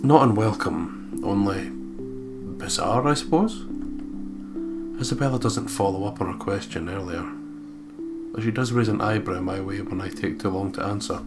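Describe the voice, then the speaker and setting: A man with a low voice co-hosts a horror game playthrough. He reads story narration aloud and chats in a joking, sweary way. Guitar music plays clearly underneath. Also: moderate pace. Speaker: let's play co-host and narrator. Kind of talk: casual banter and read-aloud visual novel narration.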